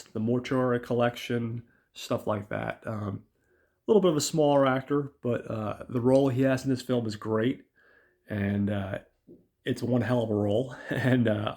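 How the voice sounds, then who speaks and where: A man hosting a podcast whose voice is raspy from illness, chatting loosely through a film review with many ums and uhs and talking to his listeners as guys. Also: mid-range voice, fairly even intonation, moderate pace, a little mellow. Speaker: podcast host.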